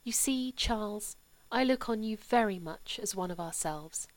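A woman voicing a gentle, sorrowful aristocratic older mother who speaks softly and slowly. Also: mid-range voice, expressive, no echo, natural voice. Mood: tender. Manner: soft, slow and hushed.

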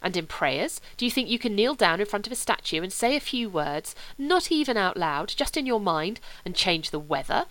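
A woman's voice: mid-range voice, big pitch swings, normal speed, hardly any pauses, a bit bright, poor audio. A woman doing a skeptical young Englishman.

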